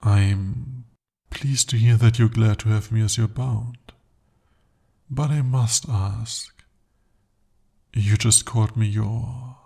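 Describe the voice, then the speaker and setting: A man voicing a husky, possessive demon. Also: mid-range voice, mellow, heavily acted. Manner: formal, intimate, slow-spoken address. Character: devoted, possessive male demon bound to a sorcerer. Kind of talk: ASMR audio roleplay.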